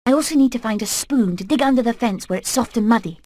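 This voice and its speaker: Game casting: female hen played by a woman, fairly high pitch, purposeful escape leader, earnest and resolute. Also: quick pace, dark tone, no room sound, studio quality, close to an everyday voice. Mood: eager. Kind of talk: animated video game acting.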